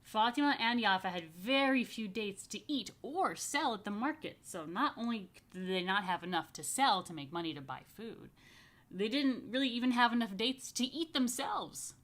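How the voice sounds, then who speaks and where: A woman reading a picture book to young families as a rabbi, stretching key phrases expressively and slipping into chatty asides. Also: on the high side. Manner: expressive, slow emphatic storytelling with chatty murmured asides. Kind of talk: children's story read-aloud with casual asides.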